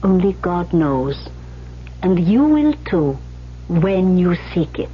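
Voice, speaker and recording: An actress playing an older, brisk and affectionate piano teacher with an imperious, theatrical delivery in a radio drama. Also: female voice, on the low side, very animated. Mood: brisk, commanding. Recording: dull lo-fi radio recording with hum.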